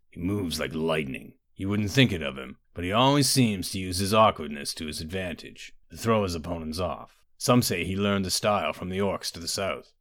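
A man's voice: deep voice, fairly bright tone, no echo, studio quality. A man voicing an enormous, genial and loyal warlord who addresses royalty with jovial deference in an audio storybook.

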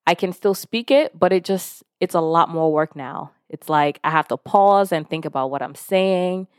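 Frank, low-voiced woman podcast host. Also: moderate pace, clear, resonant tone, no echo, clean studio sound. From Nigeria. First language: Yoruba. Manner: frank and conversational, with casual swearing; lively when retelling stories, voicing others. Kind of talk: candid self-help monologue.

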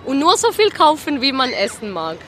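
Female street interviewee, very high bright voice, Swiss accent, blunt casual slang, faint traffic. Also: very animated, moderate pace. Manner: blunt, slangy, mixes in English words. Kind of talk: casual spontaneous chat. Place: outdoors on an urban street. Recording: faint street and vehicle noise.

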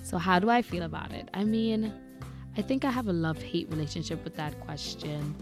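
A woman's voice: on the low side, brisk, over music. A young woman from Grenada with a Grenadian accent, hosting a casual monologue and talking candidly, a little exasperated. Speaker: podcast host.

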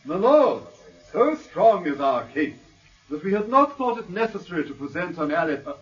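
A man's voice: high voice, big pitch swings, moderate pace. A self-assured upper-class English barrister in a radio courtroom drama. His delivery is pompous and rhetorical, rising and falling widely. The recording is dull and somewhat distant. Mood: grand, assured. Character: suave, theatrical English defence barrister, self-assured and eloquent. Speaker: actor playing a defence barrister.

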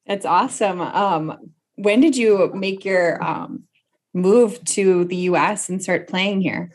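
A woman's voice: mid-range voice, takes it slowly. A woman speaking as a podcast host, introducing and interviewing a guest. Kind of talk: conversational interview with a scripted introduction.

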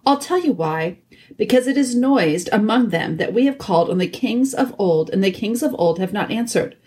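A woman's voice: mid-range voice, expressive, normal speed, darkish tone, voice pushed up, lightly acted. A woman voicing a loyal, earnest talking badger with a thick voice in a storybook reading. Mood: indignant.

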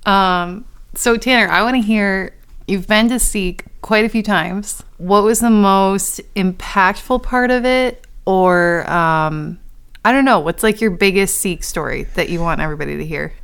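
A woman's voice: mid-range voice, a little slow, a bit bright, clear, resonant tone. A young woman co-hosting a podcast, chatting in a friendly, slightly hesitant way. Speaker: podcast co-host. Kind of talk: casual chat.

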